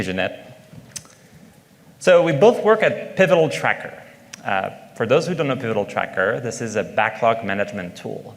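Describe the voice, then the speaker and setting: A man with a French accent speaks at a conference, his voice carrying a long reverb from the large hall around him. He pauses often on fillers as he works through his points and turns to the audience with questions. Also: on the low side, vocal fry. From France. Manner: hesitant, many fillers, engages the audience with questions. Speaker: conference speaker, product manager. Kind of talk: conference talk.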